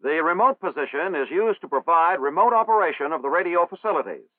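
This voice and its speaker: A man reads a scripted training film narration in a formal, measured way. His voice sits fairly high, and the sound is dry. It comes through a thin, tinny 1960s film soundtrack. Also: animated, no room sound.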